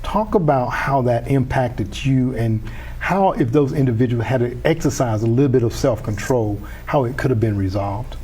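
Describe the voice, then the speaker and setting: A man's voice: mid-range voice, moderate pace, warm tone, breathy edge, rough recording. A man hosting a community TV interview, chatty and enthusiastic, often restarting his sentences. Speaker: host.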